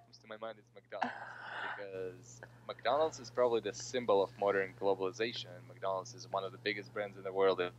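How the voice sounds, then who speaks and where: Man, early twenties, American accent, lowish pitch, casual hesitant answers, dull sound with slight background hum. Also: fairly even intonation, brisk. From Russia. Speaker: interviewee, political science master's student.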